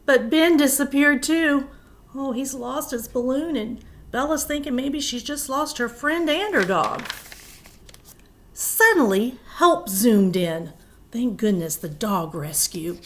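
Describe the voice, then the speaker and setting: A woman teacher reading a picture book aloud to young children, animated and expressive, with chatty asides. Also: fairly high pitch, takes it slowly, a little hiss or hum.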